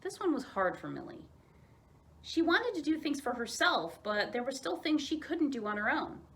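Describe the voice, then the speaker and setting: Animated woman reading a children's story. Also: high voice, moderate pace. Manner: expressive, dramatized reading. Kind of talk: read-aloud children's story narration.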